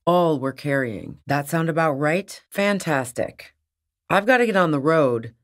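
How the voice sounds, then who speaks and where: A woman's voice: deep voice, animated, a little slow. A tough, sardonic fugitive woman. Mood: cool, wary. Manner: blunt, sardonic, foul-mouthed. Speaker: video game voice actor. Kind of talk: video game character dialogue.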